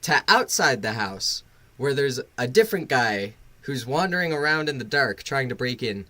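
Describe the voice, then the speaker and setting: Animated man rambling on a livestream. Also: medium pitch, normal speed. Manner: rambling, profane, bluntly critical. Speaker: livestream host and reviewer. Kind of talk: casual live reaction commentary.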